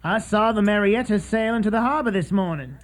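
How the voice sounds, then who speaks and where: A man's voice: high-pitched, fairly quick, close to an everyday voice. An actor playing a folksy, matter-of-fact townsman in a radio drama.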